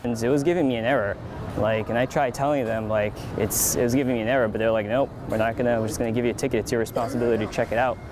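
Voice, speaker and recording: A man's voice: mid-range voice, steady tone, rapid-fire, noisy background. A man giving a casual account as an interviewed passenger.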